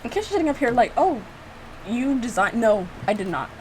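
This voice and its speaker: Animated woman chatting over clear background noise. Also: fairly high pitch, fairly quick, low-quality recording. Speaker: video creator reacting to own visual novel. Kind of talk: casual reaction commentary.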